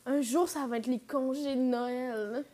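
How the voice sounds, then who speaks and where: A woman's voice: high-pitched, fairly even intonation, unhurried, dark tone. A thirty-year-old woman with a Québécois French accent, co-hosting a casual chat and acting out her past thoughts in quotes. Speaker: podcast co-host.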